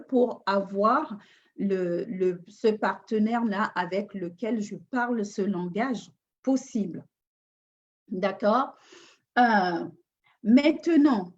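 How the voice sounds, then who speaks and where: A woman's voice: fairly high pitch, darkish tone. A woman coaching on relationships in a live talk, hesitant and thoughtful with frequent restarts. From Africa. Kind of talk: unscripted live talk answering a question. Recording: dull lo-fi live stream audio.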